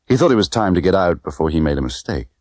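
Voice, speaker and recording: A man's voice: sits low, sing-song, fast, darkish tone, no echo, studio quality. A man reading a novel aloud as scripted dramatic narration. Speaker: audiobook narrator.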